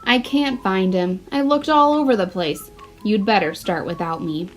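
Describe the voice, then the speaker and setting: A woman's voice: mid-range voice, moderate pace, close to an everyday voice. Lady reading as a sincere little boy in a kids picture book story. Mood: disappointed. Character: young boy Archie, earnest, independent pet owner.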